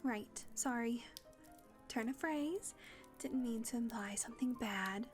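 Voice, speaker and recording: Glib, sardonic young vampire played by a woman, high feminine voice, placating audio-roleplay delivery with music behind. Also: unhurried, lightly acted. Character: wry female vampire fledgling, flattering her mistress.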